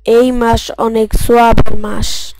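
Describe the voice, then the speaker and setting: A woman's voice: a little high, level tone, slow, darkish tone, no echo, poor audio. A woman voicing a friendly young boy with a simple, childlike way of talking in a cartoon story. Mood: earnest. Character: friendly young boy chatting with his pals. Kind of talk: scripted cartoon story dialogue.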